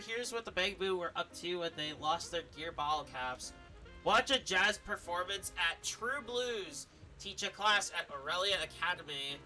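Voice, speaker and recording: A man's voice: sits high, bright, forceful tone, low-quality recording. A man doing game streamer commentary and reading game text aloud.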